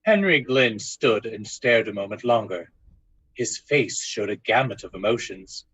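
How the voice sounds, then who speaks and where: A man narrating a ghost story in old-time radio style, with deliberate, suspenseful storytelling. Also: on the low side, expressive, fairly bright tone, breathy edge.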